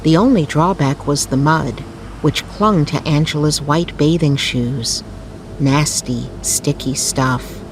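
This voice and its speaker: A woman reading a bedtime story as narrator, in a soothing, measured, literary delivery. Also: low voice, background noise.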